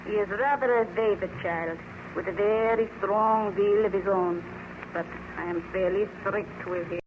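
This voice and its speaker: An actress playing a strict, grim nursemaid who speaks formally and deferentially in an old radio drama style. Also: female voice, mid-range voice, fairly even intonation, a little slow, natural voice. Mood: stern. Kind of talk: 1930s radio drama acting. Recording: muffled, noisy old-time radio recording.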